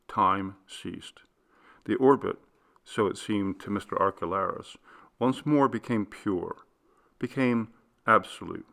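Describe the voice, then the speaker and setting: A male audiobook reader narrates literary fiction in deliberate, measured phrases. His voice sits on the low side, with plenty of fry and a bright, forceful edge.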